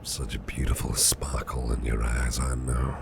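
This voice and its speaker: A man playing a caring, protective businessman boss in an ASMR roleplay, speaking in a soothing, reassuring, breathy half-whisper. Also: rumbling low, little pitch movement, unhurried, noisy background, exaggerated voice. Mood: tender, reassuring. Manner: soothing, reassuring, affectionate, gently murmured. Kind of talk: soft ASMR roleplay, personal attention.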